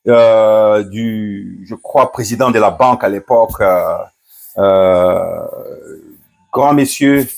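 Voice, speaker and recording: A middle-aged man from Congo, a pastor, recounting a personal story and commenting, often pausing with fillers and restarting his phrases. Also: on the low side. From Democratic Republic of the Congo. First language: Swahili. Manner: hesitant, many fillers and restarts.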